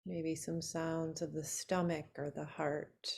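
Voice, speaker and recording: Slow-speaking woman guiding a relaxation meditation. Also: low-pitched, level tone, vocal fry, darkish tone, breathy edge. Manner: soothing, unhurried, with long pauses between short phrases. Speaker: yoga nidra meditation teacher.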